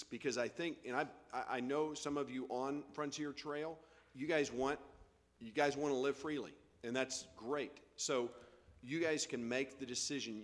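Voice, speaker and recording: A man of middle pitch speaks earnestly at a council meeting, restarting phrases as he goes. The hall adds a long reverb to his voice. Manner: earnest, advising, repeats words. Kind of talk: meeting discussion.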